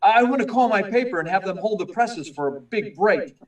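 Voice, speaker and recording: A man's voice: sits high, expressive, a little fast, far from the mic. A man playing an earnest, urgent young newspaper reporter in a 1940s-style radio drama. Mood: eager, pressing. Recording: echoey room, away from mic.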